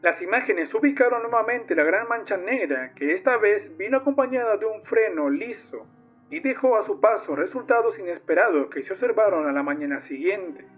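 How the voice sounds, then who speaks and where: A man's voice: high-pitched, animated, moderate pace, muffled recording, soft background music. A man playing a formal, solemn documentary narrator, reading an official report in a scripted analog horror video. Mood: solemn, ominous.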